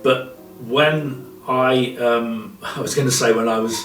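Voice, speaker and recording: A man's voice: medium pitch, fairly even intonation, unhurried, fairly bright tone. A man talking reflectively and informally in an interview. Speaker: theatre artistic director. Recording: echoey room, distant mic, faint music.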